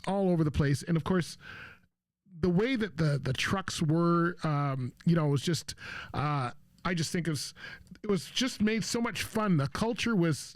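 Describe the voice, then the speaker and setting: Older guy hosting radio, chuckles a lot, lots of uh and um, slightly high voice, dry studio sound. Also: dry recording. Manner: rambling, many fillers, chuckles often. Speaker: radio host. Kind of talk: casual radio talk.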